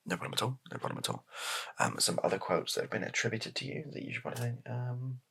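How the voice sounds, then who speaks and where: A man playing a flustered, placating political advisor in an improvised ASMR comedy roleplay, speaking softly in a hesitant, stammering, deadpan way. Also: mid-range voice, quick pace, slight background noise, pitched above the performer's own voice. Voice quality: soft-spoken, gravelly, breathy. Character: flustered, placating male political spin doctor advising his boss.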